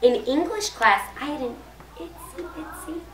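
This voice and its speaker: A woman's voice: a little high, animated, normal speed, a bit bright. A woman voicing a nervous, earnest little schoolgirl in a storybook, timidly recounting things. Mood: scared, sheepish. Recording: noisy echoey room, far from mic.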